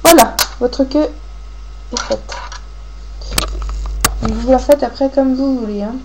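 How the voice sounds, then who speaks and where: A woman with a cold gives a casual, halting hands-on craft tutorial, thinking aloud as she works. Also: high-pitched. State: has a cold, coughing. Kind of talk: casual hands-on tutorial, talking while working. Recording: clearly noisy homemade recording with clicks.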